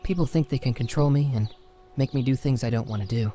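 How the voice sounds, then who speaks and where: A troubled, defiant orphan boy, acted by a man in a fantasy audio drama. The voice is dark and soft-edged at an average male pitch, halting and defensive, with music behind it. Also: close to an everyday voice. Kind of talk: narrative fantasy horror audio roleplay.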